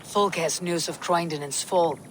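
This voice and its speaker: A woman acting a low, assured Viking war leader. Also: a little slow, noisy background, lightly acted. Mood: confident. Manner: assured, unyielding, terse. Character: bold, hard Viking woman war leader, sure of herself. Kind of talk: video game drama acting.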